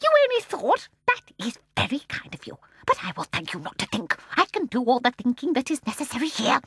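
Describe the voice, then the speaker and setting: A woman voicing a tiny, ancient, tyrannical old shopkeeper woman with a shrill, squeaky, cackling voice, chattering gleefully one moment and turning soft and fierce the next. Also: huge pitch swings, fairly quick, husky, no room sound, studio quality, heavily acted. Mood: fierce, scornful.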